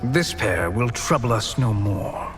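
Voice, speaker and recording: A man's voice: mid-range voice, animated, takes it slowly, over music. An actor playing a scheming male sorcerer in a game cutscene, silky and sardonic in his phrasing. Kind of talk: video game cutscene acting. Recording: game audio with loud score.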